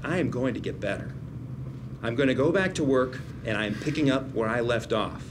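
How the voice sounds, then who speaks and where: An actor playing a proud, proper older lawyer brother, earnest, precise and placating, at a script table read. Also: male voice, medium pitch, lively intonation, normal speed, noisy background, lightly acted. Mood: earnest, hopeful.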